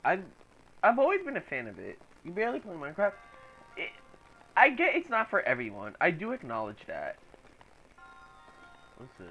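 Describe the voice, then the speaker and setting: A young man casually chatting while streaming a game from home, reacting out loud to the game and to chat. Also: high voice, wildly swooping pitch, normal speed, long pauses, faint music behind, poor audio. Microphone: laptop microphone.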